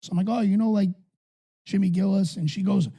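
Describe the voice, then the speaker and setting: A raspy-voiced man chats and tells stories on a podcast, swearing freely. His voice sits high for a man yet sounds dark and mellow, with a gravelly, husky edge throughout. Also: fairly even intonation, a little fast. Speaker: podcast co-host. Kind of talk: casual chat, storytelling.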